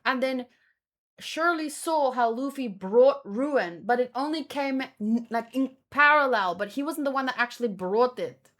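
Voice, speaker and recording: A woman's voice: high-pitched, lively intonation, measured pace, fairly bright tone. A woman chatting casually as a streamer, thinking aloud in a rambling, exclamatory way. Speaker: manga read-along streamer.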